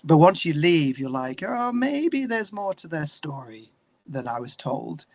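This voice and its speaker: A man with an English accent talking candidly and reflectively as an interview guest, searching for his words in a halting way. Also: a little high, sing-song, no echo, highs cut off.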